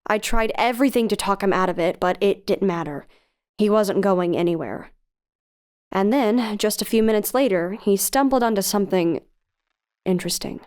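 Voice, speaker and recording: An actress plays a careful female investigator in an audio drama. She has a mid-pitched voice and an articulate, earnest delivery, reasoning like a researcher who weighs every risk. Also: normal speed, smooth, lightly acted. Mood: grave narration. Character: cautious, analytical female researcher and investigative podcaster. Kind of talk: audio drama acting, dialogue and narration.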